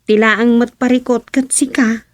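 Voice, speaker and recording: An actress playing a young wife and daughter with a Filipino Ilocano accent, coaxing and teasing in a radio comedy drama. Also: female voice, fairly high pitch, level tone, normal speed, no echo, clean studio sound, natural voice.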